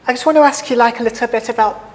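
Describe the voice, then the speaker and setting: Higher-voiced young woman asking questions. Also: rapid-fire, a little mellow. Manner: friendly, many "yeah" backchannels. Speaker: interviewer, hospital staff. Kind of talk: casual interview questions. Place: large bright hall with wood screens. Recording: reverberant hall, faint ambience.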